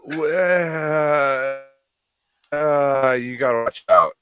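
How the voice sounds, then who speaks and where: A man's voice: mid-range voice, very slow, pushed and bright, soft background music. A man from California chatting casually as a show host, rambling and swearing freely. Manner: loose, profane and rambling. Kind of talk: casual chat.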